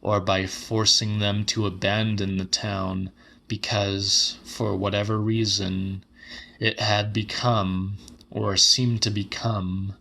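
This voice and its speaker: A man narrating literary horror as a dramatic audiobook, measured, deliberate and grave. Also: lowish voice, level tone, creaky, sharp tone.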